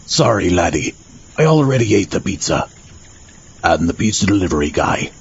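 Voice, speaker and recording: A man playing a gruff, matter-of-fact man-eating creature in a comedy audio drama, on a lo-fi recording. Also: low-pitched, very animated, normal speed. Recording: dull lo-fi.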